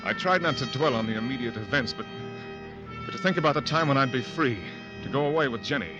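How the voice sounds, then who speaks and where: A man's voice: medium pitch, animated, muffled recording, close to an everyday voice. A young husband wracked with guilt and fear, voiced by an actor tells his story in an old-time radio drama. His narration halts and stumbles over repeated words. A dramatic music score plays behind him. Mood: tense. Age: young adult. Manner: halting, stammering repeats. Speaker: narrator and lead.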